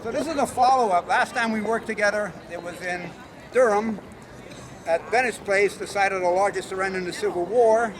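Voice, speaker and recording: A man's voice: high voice, a little fast, crowd noise. A man teaching self-defense as an instructor, informally talking through each move outdoors. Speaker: martial arts instructor. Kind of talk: hands-on self-defense demonstration. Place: outdoors on public concrete memorial steps. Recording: outdoor city noise, people around.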